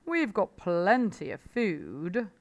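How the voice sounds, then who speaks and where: A woman voicing a confident, commanding father fox in a storybook, speaking with deliberate emphasis. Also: mid-range voice, very animated. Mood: assured.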